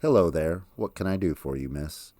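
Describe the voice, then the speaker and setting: A man voicing a genial, soft-spoken man in his eighties in an audiobook. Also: low voice, expressive, moderate pace, no room sound.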